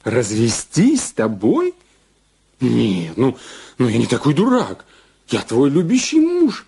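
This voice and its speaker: An actor in an audio drama playing a sleazy, mocking blackmailer husband, wheedling and drawling with gloating repetitions. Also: male voice, mid-range voice, wildly swooping pitch, slow pace, creaky, dark tone, slightly rough, exaggerated voice.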